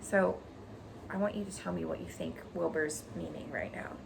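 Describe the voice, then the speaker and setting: A woman's voice: on the low side, steady tone, normal speed, gravelly. A woman teacher reading a children's story expressively, with casual explanations. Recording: noticeable background noise.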